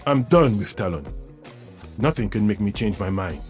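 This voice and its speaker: A man's voice: lowish voice, sing-song, moderate pace, soft background music. A man voicing a principled, weary ex-mercenary in a video game, speaking in an earnest, firm way. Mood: firm, defiant. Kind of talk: video game character voice acting.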